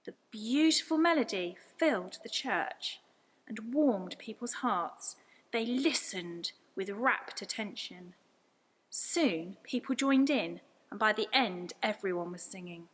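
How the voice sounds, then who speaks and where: A woman's voice: medium pitch, lively intonation, slow, husky, poor audio. A woman reading a scripted story aloud as a storyteller. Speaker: story reader.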